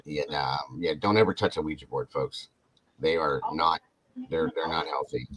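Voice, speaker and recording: Male podcast host, deep, loose and animated delivery. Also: moderate pace, crowd noise, poor audio. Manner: rambling, animated, talks to chat viewers. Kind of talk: casual podcast chat.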